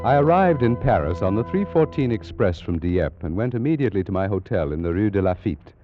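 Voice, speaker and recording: Scholarly egyptologist telling a spooky old radio tale, muffled recording, really animated delivery. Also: male voice, lowish voice, brisk, loud music behind the voice. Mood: measured, intrigued. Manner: formal, precise, dramatic storytelling. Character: scholarly male British Egyptologist recounting an eerie adventure. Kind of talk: 1940s radio drama narration.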